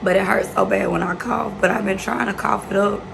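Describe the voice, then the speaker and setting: A young woman vlogging casually with a hoarse, raspy voice, talking slowly and quietly with many pauses and fillers. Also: mid-range voice, fairly even intonation, background noise. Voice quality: raspy, worn-out voice. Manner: slow, subdued talk with many fillers. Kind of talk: casual vlog chat.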